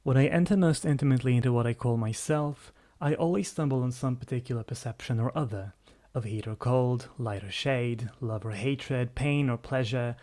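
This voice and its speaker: A man's voice: mid-range voice, normal speed, mellow. A man reading an old written text aloud in a formal style. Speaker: reader of a quoted passage.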